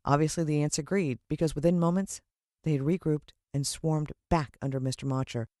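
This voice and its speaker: Female American narrator for children's fiction: expressive, suspenseful storyteller, dry sound. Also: sits low, fairly quick, no room sound. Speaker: audiobook narrator.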